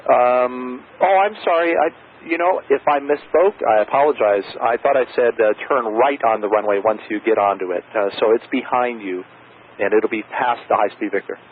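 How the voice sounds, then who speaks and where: A man's voice: mid-range voice, moderate pace, slightly rough. A man working as an airport tower controller, giving procedural instructions with casual asides over aviation radio. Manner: procedural phrasing mixed with casual, hesitant asides. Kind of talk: air traffic radio instructions. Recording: narrow, tinny aviation radio with faint static.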